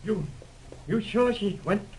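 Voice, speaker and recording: An actor playing a flustered, trusting doctor who stammers fussily, in 1930s radio drama style. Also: male voice, sits high, animated, takes it slowly, husky, exaggerated voice, rough recording. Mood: alarmed. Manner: stammering, fussy.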